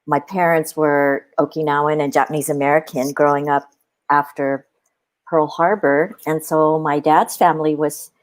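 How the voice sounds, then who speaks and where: A woman guest on a podcast, telling stories in a musing, reflective way with animated asides. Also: sits low, moderate pace. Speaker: podcast guest, spiritual companion. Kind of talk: reflective conversation.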